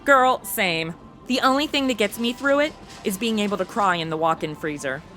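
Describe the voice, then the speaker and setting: A young woman playing a snarky, excitable modern gal in a radio comedy, gushing and sassy. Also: medium pitch, moderate pace, soft background music, lightly acted. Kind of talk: comic narration and banter in modern slang.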